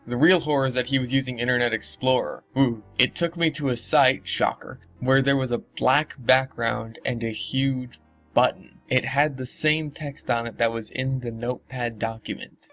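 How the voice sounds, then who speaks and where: A man's voice: mid-range voice, a bit bright. A man reading aloud and breaking off for sarcastic, incredulous, sweary commentary. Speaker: host reading and mocking internet horror stories.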